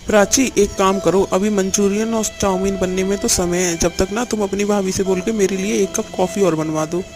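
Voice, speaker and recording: An entitled young husband , played by a man with a high-pitched voice. He is demanding, bossy and pleased with himself, in cartoon family drama style. Music is clearly audible behind him. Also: steady tone, moderate pace, a little mellow. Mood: smug. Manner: bossy, self-satisfied.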